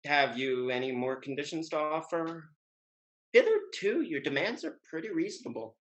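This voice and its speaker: A man's voice: fairly high pitch, animated, normal speed, a bit bright. A man playing a witty playboy suitor in a Restoration comedy, speaking in a wry, mock-formal, declamatory way. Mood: wry, bantering. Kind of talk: Restoration comedy stage dialogue.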